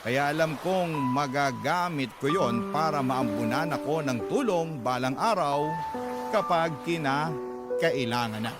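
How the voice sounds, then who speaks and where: A man's voice: fairly high pitch, a little slow, natural voice. An actor playing a young kept man who confides his story in a dramatic radio drama. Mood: matter-of-fact. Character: confessional young kept man supporting his poor mother. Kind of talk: dramatic first-person radio drama narration and acting. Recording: music bed behind voice.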